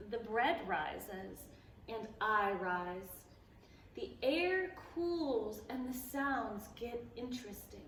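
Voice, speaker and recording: A woman reading a picture book aloud to preschoolers at a library storytime, animated and playful, stopping to ask the children questions. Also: fairly high pitch, slight background noise. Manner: playful, gasps and exclaims at the story. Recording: echoey room, voice a bit far from the mic.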